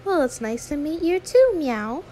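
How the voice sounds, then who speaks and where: A woman's voice: sits high, sing-song, a little slow, warm tone, slight background noise, voice pushed up. A woman voicing an anxious, sweet young female YouTuber in an audio roleplay, stammering, awkward and apologetic. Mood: sympathetic. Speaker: ASMR roleplay performer. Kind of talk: one-sided ASMR audio roleplay.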